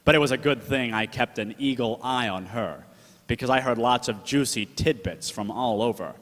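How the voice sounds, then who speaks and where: A man's voice: medium pitch, normal speed, a bit bright, lightly acted. A slangy 1940s wise-guy gumshoe, acted by a man, fond and protective, talking in radio-drama style. His mid-range voice rings in an echoey hall. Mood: wry narrating. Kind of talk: 1940s radio drama acting, narration and dialogue.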